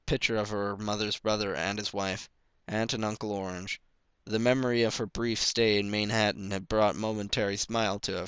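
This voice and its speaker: A man narrates a story from a script. His voice sits on the low side and is smooth and clear. The sound is dry, with little room in it. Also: fairly bright tone, no echo. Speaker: story reader.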